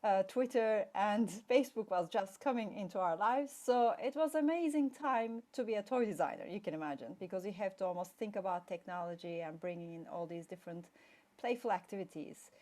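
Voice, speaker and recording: A woman's voice: medium pitch, brisk, darkish tone. A middle-aged woman from Turkey, a guest play expert, chatting casually and telling her story with many fillers. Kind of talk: casual interview chat.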